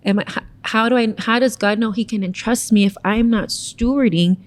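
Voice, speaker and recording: A woman's voice: fairly high pitch, fairly quick. A young woman who is a mother, talking earnestly about motherhood and faith in a casual podcast chat. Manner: earnest, heartfelt, quotes herself often. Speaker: podcast co-host.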